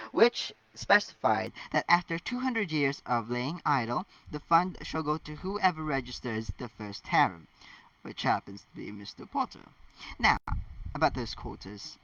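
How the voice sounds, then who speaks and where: A man's voice: on the high side, sing-song, a little slow, a bit bright, dry recording, exaggerated voice. A man reading a stern, exacting teenage schoolgirl with a formal, officious manner, as in a storybook. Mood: insistent. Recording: distant mic, dull lo-fi sound.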